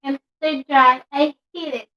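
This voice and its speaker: A young woman with a Filipino accent and a high voice chats on a couple's vlog. She is playful and giggly. She drops into Tagalog now and then. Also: fairly even intonation, unhurried, highs cut off. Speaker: vlogger. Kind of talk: casual chat.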